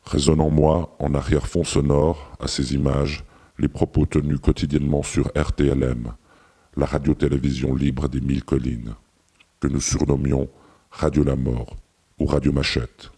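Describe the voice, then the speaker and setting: A man narrating a radio documentary in a literary, deliberate scripted style. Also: a bass voice, level tone, mellow, breathy edge.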